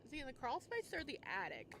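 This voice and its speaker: Animated woman doing gaming commentary. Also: high voice, fast, noisy background. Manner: thinking aloud, reading game text. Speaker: gaming streamer. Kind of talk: casual let's play commentary. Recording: clear game music underneath. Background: video game music.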